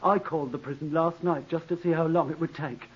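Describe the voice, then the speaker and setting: A man's voice: on the high side, fairly even intonation, fairly quick, husky. An actor playing a deferential, anxious manservant who pleads with his master in an obsequious way, in a 1940s radio drama. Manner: obsequious, pleading, constantly saying sir. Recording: muffled, hissy old radio broadcast.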